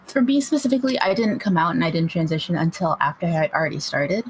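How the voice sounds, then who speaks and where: A young adult panelist giving plain, steady answers in a casual discussion. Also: medium pitch, fast, slightly rough, rough recording.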